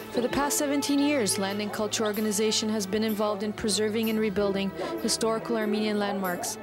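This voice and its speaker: A woman reading the scripted narration of a documentary in an even, measured way. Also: medium pitch. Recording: voice-over with music behind.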